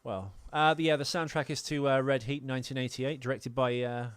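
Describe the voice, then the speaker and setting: A man's voice: mid-range voice, fairly even intonation, brisk, clean tone, no echo. Male podcast co-host, mid-range voice, loose comedic movie banter, rambling and jokey. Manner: rambling, joking. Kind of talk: casual comedic film chat.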